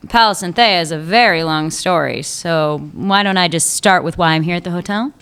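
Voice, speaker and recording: A woman's voice: on the low side, moderate pace, fairly bright tone, clean tone. A woman playing a sly woman police chief who teases an old lover, in a radio play. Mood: probing. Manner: teasing, needling, wry. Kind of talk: amateur radio drama acting.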